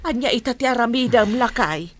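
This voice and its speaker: A woman's voice: fairly high pitch, lively intonation, a little fast, a little hiss or hum, lightly acted. A woman playing a worried village wife and mother who defends her children in a radio drama.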